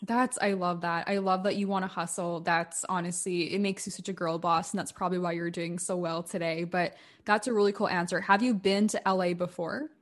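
A woman's voice: on the low side, fairly even intonation, a little fast, hardly any pauses. A woman hosting a podcast interview, chatting in an upbeat, enthusiastic way. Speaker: podcast host. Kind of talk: casual interview chat.

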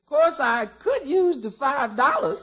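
An actress playing a good-humoured, frank housekeeper in a 1950s radio comedy, with folksy, droll delivery. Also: female voice, sits high, very animated, unhurried. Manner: folksy, dialect grammar, droll repetition. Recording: dull old radio recording.

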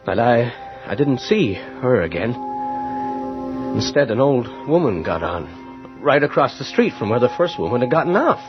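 An actor playing an uneasy, haunted night bus driver in a radio horror drama, speaking in a halting, agitated way. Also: male voice, mid-range voice, big pitch swings, natural voice. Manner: halting, agitated, searching for words. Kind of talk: old-time radio horror drama acting. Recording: dull old radio recording with music underneath.

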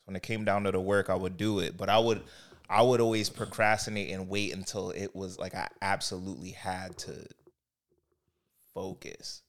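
A man from a Haitian-American family, co-hosting a casual podcast chat and telling his story in a relaxed, steady way. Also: low-pitched, moderate pace. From United States, Haitian family. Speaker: podcast co-host.

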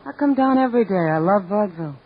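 An actress in a 1950s radio drama playing a cool, blunt, unimpressed married woman with a deliberate delivery. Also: mid-range voice, expressive, lightly acted. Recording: muffled, hissy vintage radio recording.

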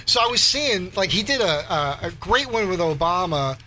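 A man guesting on a talk show, with a soft, breathy voice, telling a long story with many restarts. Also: high-pitched, sing-song, moderate pace, loud music behind the voice. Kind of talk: casual storytelling interview.

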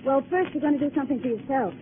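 A woman playing a brisk, loyal sidekick to a sleuth, practical and prompting, in 1940s radio style. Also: sits high, close to an everyday voice. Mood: concerned, brisk. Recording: very noisy, narrow-band old radio recording.

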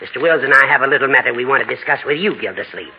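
An actor playing a formal, businesslike older judge in a 1940s radio comedy. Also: male voice, medium pitch, animated, racing, natural voice. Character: brisk, formal older judge, civic-minded. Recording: dull, hissy old radio broadcast.

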